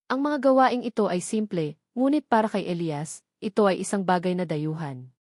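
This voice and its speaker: A woman reading a scripted dramatic story in measured, deliberate short phrases. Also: on the low side, a little mellow, no echo, studio quality. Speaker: audiobook narrator.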